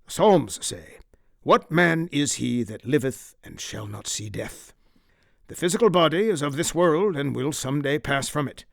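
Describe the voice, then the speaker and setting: A man's voice: a little high, animated, measured pace, gravelly, dry recording, clean studio sound, voice pushed up. A man voicing a pious, persuasive patriarch in an audiobook, solemn and preacherly in delivery. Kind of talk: audiobook character acting.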